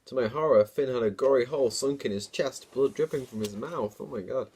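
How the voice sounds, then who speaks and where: A man's voice: mid-range voice, brisk, darkish tone, husky. A man hosting a dramatic story reading, mixed with amused, informal comic commentary. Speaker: host reading his own childhood story.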